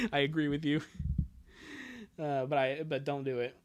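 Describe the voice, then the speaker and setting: A man's voice: on the high side, animated, a little slow. A man streaming a live reading, reacting casually with joking, mocking asides and frequent laughter.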